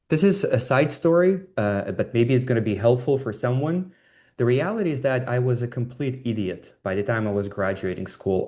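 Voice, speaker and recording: Low-voiced man. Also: darkish tone. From Estonia. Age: 40s. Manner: candid, self-deprecating, swears casually. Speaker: designer giving an online talk. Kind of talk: informal autobiographical storytelling.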